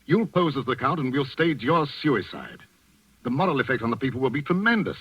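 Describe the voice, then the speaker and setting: An actor playing a commanding doctor in the resistance, urgently instructing accomplices in 1940s radio style. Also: male voice, medium pitch, sing-song, fairly quick, husky. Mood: urgent, persuasive. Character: commanding male doctor, resistance plotter coaching his accomplices. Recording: muffled 1940s radio broadcast.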